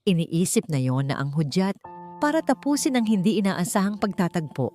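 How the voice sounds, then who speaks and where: A woman's voice: lowish voice, very animated, a little fast, warm tone. A woman with a Filipino accent reading a scripted story as an audiobook narrator. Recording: music bed under the voice. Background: background music.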